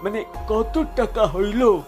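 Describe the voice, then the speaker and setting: A man's voice: sits high, takes it slowly, darkish tone. A man voicing a scheming, greedy English colonial boss in a cartoon, gloating in stilted formal Bengali mixed with English words. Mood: eager, pleased. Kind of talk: cartoon villain dialogue. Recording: music under the voice.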